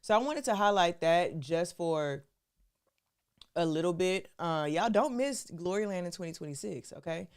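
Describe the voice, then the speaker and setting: A woman's voice: lowish voice, lively intonation, normal speed, a little mellow, smooth. A woman hosting a live stream, talking to her viewers in a casual, chatty way and calling them y'all. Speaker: show host.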